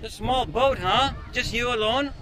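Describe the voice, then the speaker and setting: Man from Canada, middle-aged, travel vlogger, higher voice, casual walking chat, action cam, outdoor crowd noise. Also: normal speed. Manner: chatty, simple broken phrasing with locals. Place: outdoors in a busy village neighborhood. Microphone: action camera held or worn on body.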